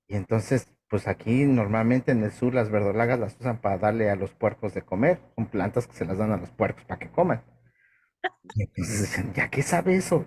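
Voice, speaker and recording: A man's voice: fairly low pitch, a little mellow. A man with a Mexico City accent, telling personal anecdotes in a chatty way on a discussion panel. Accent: Mexico City Spanish. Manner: storytelling, chatty, repeats words. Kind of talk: anecdotal casual chat. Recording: faint room noise.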